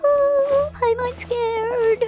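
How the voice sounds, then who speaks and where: A woman voicing a tiny, excitable, anxious fairy companion in a video game, with a squeaky childlike voice. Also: extremely slow, soft background music, heavily acted. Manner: childlike, speaks of herself in third person.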